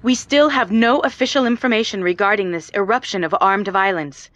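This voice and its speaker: An actress playing a polished television correspondent, delivering a scripted news report in a composed, formal manner. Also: female voice, medium pitch, fairly quick, fairly bright tone, no room sound, highs cut off, natural voice. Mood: serious.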